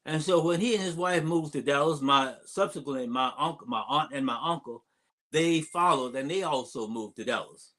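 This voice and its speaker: An older man, an imam, giving an unscripted public address, telling stories from memory in a halting way with digressions. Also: a little high, a bit bright. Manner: halting delivery with long digressions. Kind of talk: unscripted storytelling address, reminiscing.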